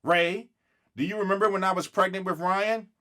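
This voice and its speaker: A man voicing a gentle, reassuring mother in a children's storybook, patiently drawing out her explanations. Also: sits high, steady tone, moderate pace, pitched above the performer's own voice. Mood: happy, gentle.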